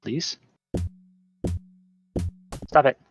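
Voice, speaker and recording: A man's voice: very slow, muffled recording, soft background music. A man giving informal live commentary as a speedrunner, often talking to the game while he plays.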